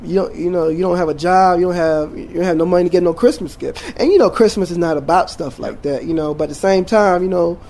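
Man, 40s, from Jamaica, teacher, casual rambling talk, slightly high voice. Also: fast, hardly any pauses. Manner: relaxed, rambling with many fillers and restarts. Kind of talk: casual interview.

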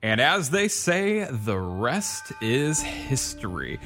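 A male narrator reads a scripted story very slowly, stretching out each phrase. His pitch rises and falls in a lively, expressive way. Soft music sits underneath. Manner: slow, dramatic, drawn-out delivery.

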